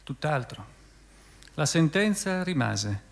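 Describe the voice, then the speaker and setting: A man giving a measured, solemn dramatic reading for radio theatre, with deliberate pauses. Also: on the high side, very animated, gravelly. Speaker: narrator in a radio theatre piece. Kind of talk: dramatic scripted narration, theatrical literary reading. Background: soft music with plucked guitar.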